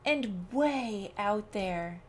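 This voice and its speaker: Woman playing male bear, storybook, boastful, competitive, emphatic, drawn-out very slow speech. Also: mid-range voice, animated, slight background noise. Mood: smug boasting. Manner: boastful, emphatic, growling at times. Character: proud, competitive male bear bragging about his nose.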